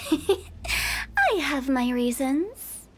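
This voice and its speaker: Very high-voiced mischievous young funeral director, teasing, morbid jokes, faint game music. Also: female voice, sing-song, very slow, full character voice. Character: playful, macabre young woman funeral director. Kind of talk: game cutscene voice acting.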